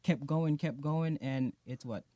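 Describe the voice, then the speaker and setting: A man from Jamaica, a music artist being interviewed on a podcast, telling his story in a relaxed, slangy way with lots of repeated phrases. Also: fairly high pitch, a little slow, no echo.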